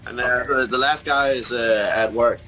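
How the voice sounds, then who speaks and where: Relaxed Danish man in his thirties. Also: mid-range voice, normal speed, faint music behind, rough recording. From Denmark. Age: 30s. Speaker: band member. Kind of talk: casual interview answers.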